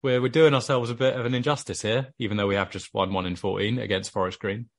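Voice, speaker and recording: Low-voiced Welsh man talking football. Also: fairly even intonation, fast. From Wales. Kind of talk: casual football chat.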